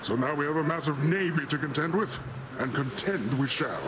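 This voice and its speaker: An actor playing a boisterous, pompous middle-aged sea god in a video game, with grandiose, theatrical delivery. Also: male voice, on the high side, moderate pace, lightly acted. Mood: grand, boastful. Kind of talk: scripted video game character acting. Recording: voice under very loud noise.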